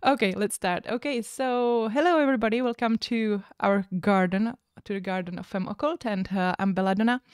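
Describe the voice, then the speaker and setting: A woman's voice: medium pitch, a little fast, hardly any pauses, a little mellow, smooth, no echo. Hesitant woman livestream host, dry sound. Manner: hesitant, many fillers. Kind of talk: casual livestream chat.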